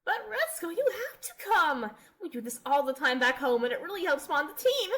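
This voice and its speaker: A woman's voice: high voice, big pitch swings, a little fast, pushed and bright, full character voice. A woman voicing a brash, pushy Canadian goose office worker who talks in business jargon in a cartoon comedy dub, with music behind. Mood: pushy enthusiasm. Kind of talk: animated comedy comic dub.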